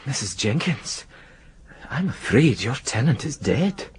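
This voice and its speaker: A husky doctor in a period radio play. He is brisk and courteous, with a lively, varied pitch. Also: full character voice. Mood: businesslike, grave. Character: brisk, gentle-mannered male doctor on a house call. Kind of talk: period radio drama acting.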